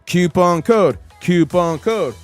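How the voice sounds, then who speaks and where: Hoarse, high-voiced hype host. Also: male voice, slow pace, soft background music. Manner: excitable, hype-man energy. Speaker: livestream host. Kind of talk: casual livestream chat.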